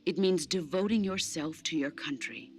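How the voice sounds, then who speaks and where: A lowish woman's voice for a legendary soldier and mentor in a video game. She is solemn, measured and commanding, philosophical in tone, over background music. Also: expressive, vocal fry. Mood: solemn, instructive. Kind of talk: video game cutscene acting.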